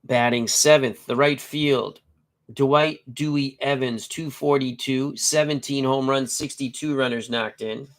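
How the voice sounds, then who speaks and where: Middle-aged male stream host, stuffy and nasal, chatty and jokey, average pitch, reads stats in short bursts. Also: fairly even intonation, a little slow. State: congested and nasal from a cold. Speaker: host of a tabletop baseball live stream. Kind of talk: casual live-stream chat and lineup reading.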